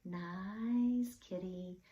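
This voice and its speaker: A woman voicing a gentle, brave small storybook boy with a soft, coaxing, soothing manner. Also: very slow, roomy sound, recorded from a distance. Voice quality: soft, high.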